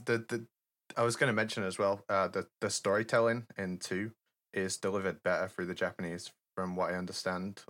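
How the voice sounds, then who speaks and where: A man with a very deep voice co-hosts a casual podcast. His tone is bright despite the low pitch, and he talks in a measured, informative way. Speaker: podcast co-host. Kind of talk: casual chat.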